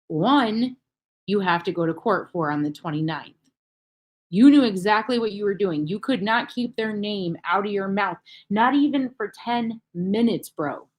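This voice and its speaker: A woman reacts off the cuff as host, with a mid-pitched voice. She is blunt and openly mocking, swears freely, and repeats words for emphasis. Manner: emphatic repetition. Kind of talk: unscripted reaction commentary.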